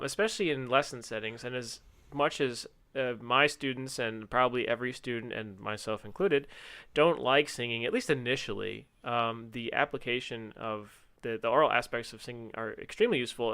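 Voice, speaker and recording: A man's voice: mid-range voice, moderate pace, a bit bright, clear, resonant tone. A man co-hosting a podcast in casual chat about music teaching, playful and jokey. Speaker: podcast co-host.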